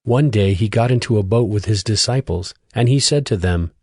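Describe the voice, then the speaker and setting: A man narrating a dramatized scripture reading in a steady, even storytelling voice. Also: lowish voice, moderate pace, husky, no room sound, clean studio sound. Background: music.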